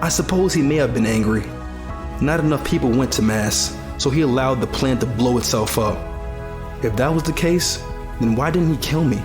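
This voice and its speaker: Guy playing a worn-out young survivor in an audio drama, thoughtful and plain, loud music under him. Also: mid-range voice, moderate pace, close to an everyday voice. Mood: bitter, questioning. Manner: reflective, plain-spoken. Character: weary, disillusioned young male survivor of a ruined world. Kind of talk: first-person audio drama narration and dialogue.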